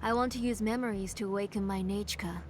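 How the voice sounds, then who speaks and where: A woman voicing a middle-aged, grieving, obsessive mother in a video game, in a soft, hushed and fervent manner. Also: mid-range voice, fairly even intonation, fast, background noise, natural voice.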